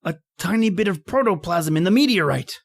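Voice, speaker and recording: Casting: male actor for astonished, curious radio-horror hero, urgent and halting, recorded dry. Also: high-pitched, expressive, creaky, dry recording, exaggerated voice. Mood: awed questioning. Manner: stammering, breathless urgency. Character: awestruck, insistently curious man confronting an alien. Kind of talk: old-time radio horror acting.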